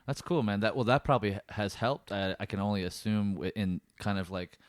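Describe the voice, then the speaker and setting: Low smooth-voiced male podcast host. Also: steady tone, a little fast, no room sound, clean studio sound. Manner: friendly, encouraging, asks rambling questions. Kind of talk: casual interview chat.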